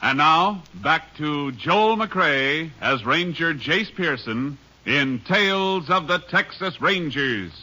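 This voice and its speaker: A man's voice: on the high side, animated, takes it slowly, pushed and bright, rough recording. An American man formally announcing a 1950s radio program in an emphatic manner.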